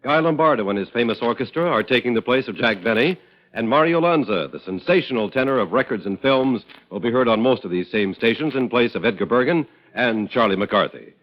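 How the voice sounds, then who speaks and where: A man's voice: mid-range voice, expressive, a little fast. A male radio announcer reading a formal announcement in a 1950s broadcast. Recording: dull old radio broadcast.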